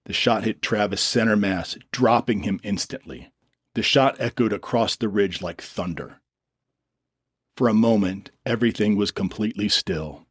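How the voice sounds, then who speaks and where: A man with a fairly low voice narrates a dramatic story. He reads from a script in the role of storyteller. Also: normal speed, breathy edge, no room sound, studio quality.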